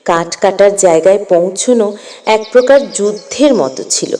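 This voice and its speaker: A woman narrating a scripted story with measured, expressive phrasing. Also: medium pitch.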